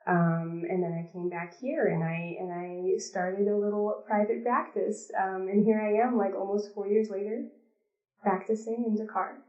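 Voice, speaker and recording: A woman's voice: medium pitch, steady tone, normal speed, warm tone. A woman in her thirties from the Pacific Northwest, chatting as a guest in an interview, talking with lots of ums and restarts. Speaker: guest, behavior analyst. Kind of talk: casual interview talk. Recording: lo-fi, roomy call audio.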